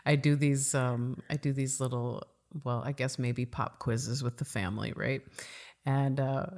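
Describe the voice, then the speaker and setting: A middle-aged woman hosting a podcast interview, speaking in a relaxed way with frequent murmurs of agreement and filler words. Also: very deep voice, a little slow. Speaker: podcast host. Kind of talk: casual interview chat.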